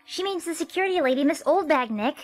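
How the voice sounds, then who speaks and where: Woman voicing a very high-pitched excitable girl sidekick. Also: fast, no room sound, faint music behind. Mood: helpful. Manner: impulsive, emphatic. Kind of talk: amateur fandub of a video game.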